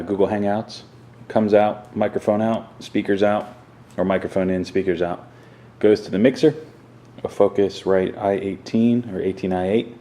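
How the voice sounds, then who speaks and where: A man with a low-pitched voice talks through a gear explainer off the cuff. His phrasing is clipped and offhand, like an informal vlog. There is clear noise behind him in the recording. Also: warm tone. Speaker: vlogger showing a home podcast setup. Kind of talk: casual unscripted explainer walkthrough. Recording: clearly noisy room recording.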